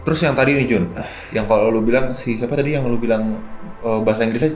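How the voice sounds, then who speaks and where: Man in his twenties, medium pitch, Jakarta accent, casual podcast host, roomy echo, faint music. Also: fast talker, darkish tone. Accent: Jakartan Indonesian. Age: 20s. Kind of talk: casual conversational chat. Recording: echoey room with music underneath.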